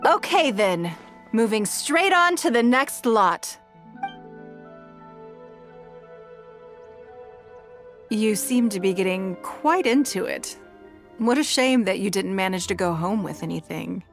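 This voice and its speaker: A woman's voice: mid-range voice, animated, measured pace. Game role: wealthy, knowing female auctioneer, formal and unruffled, faint music underneath. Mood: smooth, amused. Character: poised, shrewd wealthy woman running an auction. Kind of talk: video game voice acting.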